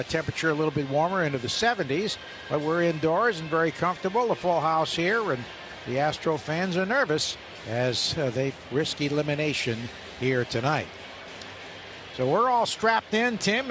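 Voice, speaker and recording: A man's voice: fairly high pitch, lively intonation, background noise. Older man opening a baseball radio broadcast. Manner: measured, informative pregame setup. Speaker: play-by-play announcer. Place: press box at a stadium.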